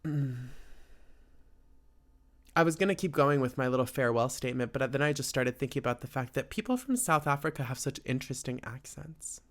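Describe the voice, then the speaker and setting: A man's voice: medium pitch, lively intonation, fairly quick. A man hosting a casual solo podcast, laid-back and rambling, with plenty of fillers and tangents. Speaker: podcast host.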